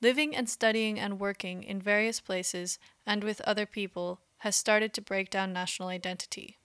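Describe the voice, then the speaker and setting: A woman hosting a podcast, giving measured, explanatory scripted narration. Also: mid-range voice, fairly even intonation, a bit bright, smooth, no room sound, studio quality. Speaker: podcast host.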